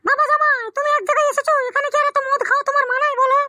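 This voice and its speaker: A woman voicing a bossy middle-aged village mother-in-law in a comic cartoon, scolding and questioning through a squeaky pitch-shift effect. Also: little pitch movement, very fast, sharp tone, no echo, clean studio sound, exaggerated voice. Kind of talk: comic Bengali cartoon dialogue.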